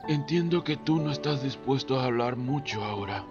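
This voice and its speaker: An actor playing a patient, concerned, fatherly man who talks in a measured, formal way in a Spanish fan dub. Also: medium pitch, natural voice. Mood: gentle, reassuring. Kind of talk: Spanish fandub voice acting. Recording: dull lo-fi audio, music behind.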